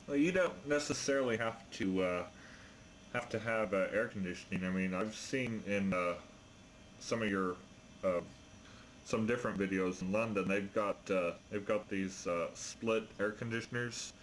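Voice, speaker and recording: American male ham operator, deepish voice, hesitant explanatory chat, slight noise behind. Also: moderate pace, poor audio. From United States. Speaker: amateur radio operator. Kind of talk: casual ham radio chat.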